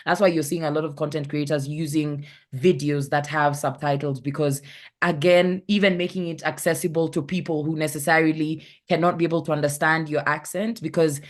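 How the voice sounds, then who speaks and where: A woman's voice: sits low, steady tone, fairly quick. A woman with a Kenyan accent, a content creator talking casually on an online panel, often searching for the right word. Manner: chatty, searches for words, acts out anecdotes.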